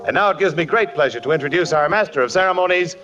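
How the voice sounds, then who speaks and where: A man's voice: a little high, lively intonation, quick pace, music in the background. A man announcing a 1950s radio show and reading sponsor lines in a formal, declamatory manner. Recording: muffled old radio broadcast.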